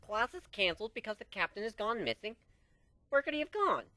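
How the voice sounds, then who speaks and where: A man's voice: high voice, big pitch swings, brisk, pushed and bright, no room sound, pitched above the performer's own voice, heavily acted. Man doing a fussy skeptical pony mare. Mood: exasperated. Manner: exasperated, fussy, protesting. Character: prim, skeptical young unicorn mare. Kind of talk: amateur dramatic story reading.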